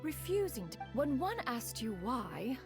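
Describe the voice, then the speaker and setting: A woman's voice: a little high, very animated, measured pace, creaky, heavily acted. An actress playing a proud, dignified immortal crane woman who tells her story in formal, stately speech in a video game. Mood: haughty, wry. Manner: stately, formal, refers to self as "one". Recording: game music behind the voice.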